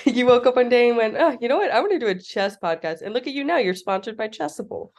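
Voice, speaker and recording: A woman's voice: a little high, expressive, quick pace, darkish tone. A young woman who is a graduate student, guesting on a podcast, chatting in a bubbly way, saying "like" often and laughing. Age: young adult. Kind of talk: casual interview chat.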